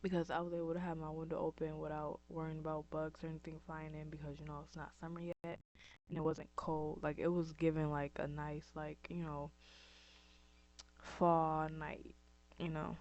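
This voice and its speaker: A woman's voice: low-pitched, level tone, moderate pace, a little mellow. A young woman from upstate New York talking to camera in a casual vlog, her voice scratchy and dry, rambling with many ums and likes. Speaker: vlogger. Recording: dull lo-fi recording.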